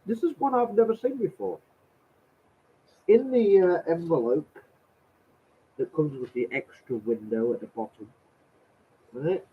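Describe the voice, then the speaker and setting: A man's voice: on the high side, animated, unhurried, long pauses, breathy edge. Sarcastic man with a cold. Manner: exasperated, sarcastic, swears. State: has a cold, slightly nasal. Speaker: vlogger reading out a letter. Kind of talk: sarcastic commentary on a letter. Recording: tinny phone-like audio.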